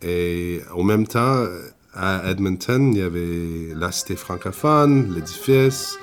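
A man's voice: low voice, expressive, takes it slowly, faint music behind. A man from Alberta who plays accordion, talking casually about his life as an interviewee and mixing English words into his French. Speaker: accordion player.

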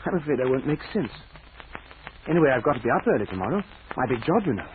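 A man's voice: mid-range voice, sing-song, fast, crowd noise, close to an everyday voice. Actor voicing a meek, proud, hesitant man; stammers often; thin phone-like old radio sound. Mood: earnest, shy. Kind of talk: 1940s radio acting. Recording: tinny hissy old radio recording.

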